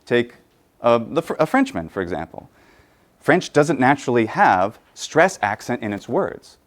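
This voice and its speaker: A man's voice: mid-range voice, very animated, measured pace. A man giving a conference talk, thinking aloud with lots of fillers and restarts. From United States. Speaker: conference lecturer. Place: conference room.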